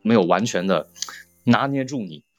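A man's voice: fairly low pitch, lively intonation, moderate pace, dry recording, dull audio, soft background music. A man chatting casually and playfully as a voice actor guest in a group conversation.

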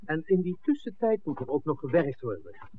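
An actor playing an impatient, pragmatic film maker in a 1960s radio drama, blunt and insistent. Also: male voice, on the high side, wildly swooping pitch, normal speed. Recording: tinny, noisy 1960s radio recording.

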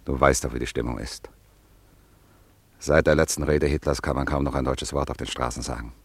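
A quiet consoling friend, rough breathy bass, radio play. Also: male voice, fairly even intonation, brisk, dry recording, full character voice. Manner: gentle, consoling, hushed. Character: Pierre, loyal French friend of the family. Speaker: family friend.

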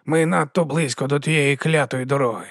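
A sharp, scolding old woman in an audiobook, as a male narrator puts her on. Her voice is raspy and gravelly, raised higher than his own. She snaps out short commands in a dry, close recording. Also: moderate pace, dry recording. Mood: stern.

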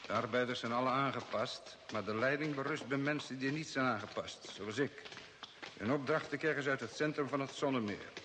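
A man's voice: medium pitch, brisk, bright, forceful tone, lightly acted. A man acting a formal, authoritative guide to an alien base in a radio drama. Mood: instructive. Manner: formal, instructive. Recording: noisy old radio recording, long reverb.

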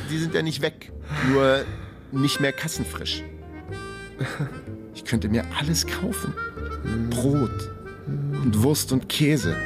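An actor playing a trapped, wryly self-mocking man who narrates his own story in a radio crime drama. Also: mid-range voice. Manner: wry, matter-of-fact musing. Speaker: narrator and lead character.

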